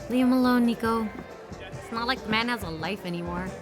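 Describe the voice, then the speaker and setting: A woman's voice: fairly high pitch, a little fast, music in the background, natural voice. A woman playing a sardonic, defiant strike team agent in a drama, dry and challenging. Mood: teasing. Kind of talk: dramatic dialogue.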